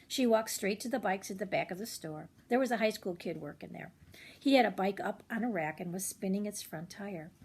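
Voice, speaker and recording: A woman's voice: mid-range voice, fairly quick, a little mellow, slightly rough. An older woman reading a children's chapter book aloud expressively, slipping in chatty little asides to young listeners. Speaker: children's read-aloud host.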